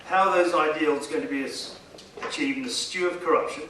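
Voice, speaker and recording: Echoey, bright-voiced man giving a speech. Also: on the high side. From India. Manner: emphatic, rhetorical, pausing for effect. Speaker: guest speaker at a conference. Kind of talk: formal public speech. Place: conference hall, addressing a seated audience. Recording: echoey hall, distant mic, faint noise.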